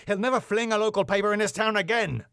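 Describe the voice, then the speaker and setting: A man's voice: high voice, fast, a bit bright, no echo, studio quality, pitched above the performer's own voice. A man voicing a blustering, pompous, irate club boss in a sitcom. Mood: indignant.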